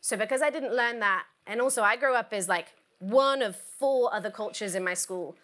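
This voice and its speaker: A woman's voice: on the high side, normal speed, fairly bright tone. A young woman from the South West giving an earnest, chatty conference talk about bartending, with many fillers. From South West England. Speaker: bar education advisor. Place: conference stage.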